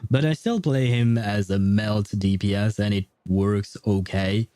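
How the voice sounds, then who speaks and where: A man's voice: lowish voice, steady tone, unhurried, dark tone, no echo. A man rambling casually on a game livestream, thinking aloud as he answers his viewers.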